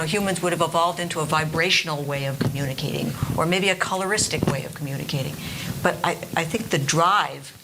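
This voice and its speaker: Musing woman musician, lowish voice. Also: noisy background. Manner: musing, thinking aloud. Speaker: musician panelist. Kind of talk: roundtable discussion. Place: hall with audience.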